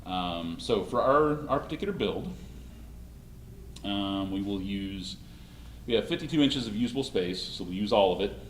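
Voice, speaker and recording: A man presenting a live costume-making demonstration at a convention panel, talking in a halting way with many restarts, his voice slightly hoarse. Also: on the low side, lively intonation, a little hiss or hum. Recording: echoey room, mic far off.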